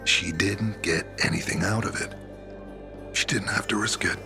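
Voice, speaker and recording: An actor playing a weary older veteran leader of survivors in a video game cutscene, grave and reflective, with a rough, gravelly voice. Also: male voice, fairly low pitch, steady tone, normal speed, over music. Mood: solemn, reflective.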